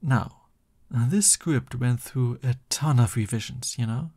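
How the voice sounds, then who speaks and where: A man playing a soft-voiced, lovestruck villain boyfriend in an intimate ASMR roleplay, bashful and tender as he talks. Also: mid-range voice, slow, a little hiss or hum. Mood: playful, affectionate. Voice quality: soft, breathy. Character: lovestruck, flustered supervillain boyfriend, soft and doting.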